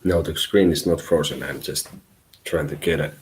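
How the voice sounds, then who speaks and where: A man from Finland whose first language is Finnish, giving a live tarot reading in halting English as he searches for words. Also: a bass voice, fairly even intonation. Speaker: tarot reader.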